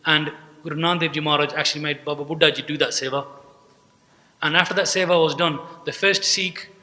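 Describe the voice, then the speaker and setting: A man's voice: fairly high pitch, fairly even intonation, fast, pushed and bright. A young man giving a religious talk at a podium mic, telling a story earnestly and acting out the dialogue. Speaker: speaker at a gurdwara event. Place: large hall, indoors. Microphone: podium mic on a stand. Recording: long hall reverb.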